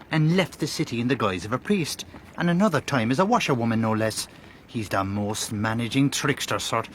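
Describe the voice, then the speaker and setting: A man's voice: medium pitch, slight background noise, close to an everyday voice. An actor playing an honest, plain-spoken Irish craftsman in a period radio drama, with an Irish accent and a wry, deferential, folksy manner.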